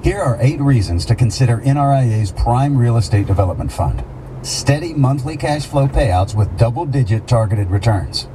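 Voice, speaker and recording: A man's voice: mid-range voice, expressive, normal speed. A man narrating a scripted radio advertisement as a commercial announcer. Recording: noisy car radio pickup.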